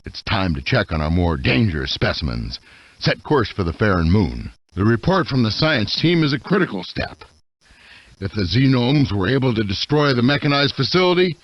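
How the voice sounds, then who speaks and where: An actor playing a menacing, vengeful military general in a video game, with a deep raspy voice and theatrical, gloating delivery. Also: male voice, no echo, lo-fi sound. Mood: cold command. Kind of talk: video game villain voice acting.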